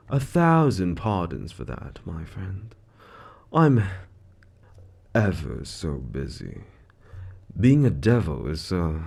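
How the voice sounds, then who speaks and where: Suave, menacing male devil, audio drama. Low register with big swoops, theatrical, smugly condescending mock courtesy. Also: creaky, darkish tone, full character voice. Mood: breezy courtesy. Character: suave, smug male devil, menacing soul-contract broker in Hell. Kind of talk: scripted audio roleplay monologue.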